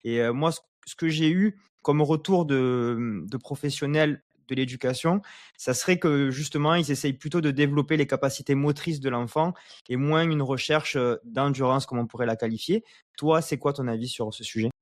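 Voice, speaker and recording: A man with a mid-pitched voice hosts a podcast. He talks conversationally, and the sound is dry, with hardly any room around it. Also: normal speed, dry recording. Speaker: podcast host.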